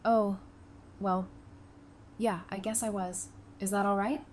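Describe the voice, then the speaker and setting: An actress plays an independent, affectionate bride in an audio drama. Her medium-pitched voice is casual, frank and teasing, with a little noise and ambience behind it. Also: steady tone, slow, a little mellow, natural voice. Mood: warm, candid.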